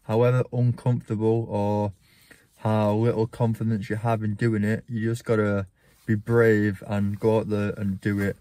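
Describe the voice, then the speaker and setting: A man's voice: on the low side, level tone, normal speed, mellow, no room sound. A man, earnestly talking to a phone camera from inside a parked car, with frequent ums. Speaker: church vlogger. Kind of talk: reflective vlog to camera. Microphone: phone camera at arm's length.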